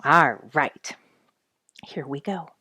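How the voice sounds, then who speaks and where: A woman's voice: sits low, takes it slowly, sharp tone, breathy edge, dry recording, studio quality. A woman hosting a children's story reading, addressing young listeners in a friendly way as she narrates and chats. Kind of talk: read-aloud children's narration with chatty asides.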